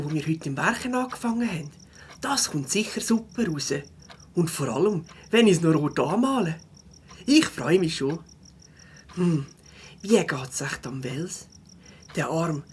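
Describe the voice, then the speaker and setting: A woman's voice: on the low side, slight background noise. A woman voicing a kind, cheerful young beaver schoolboy in a children's audio drama, with a Swiss German accent. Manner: comforting, upbeat.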